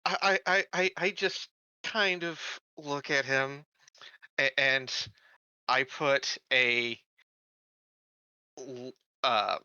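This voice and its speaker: A man with a bright, forward voice talks slowly, stammering over his first words before settling into measured, deliberate sentences. The sound is dry and slightly dull, like a home microphone on a voice call. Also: medium pitch, no room sound. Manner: halting, stammering starts, then slow measured speech. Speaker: player. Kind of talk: in-character roleplay as a synthetic colonist.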